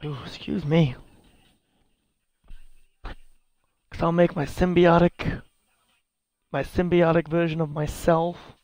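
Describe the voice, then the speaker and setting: Loud high-voiced man calling a game match. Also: level tone, takes it slowly, pauses often, dry recording. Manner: loud, exclaiming, swears at big moves. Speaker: gamer commentating his own wrestling video game match. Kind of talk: excitable casual play-by-play commentary. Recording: loud game crowd and noise behind.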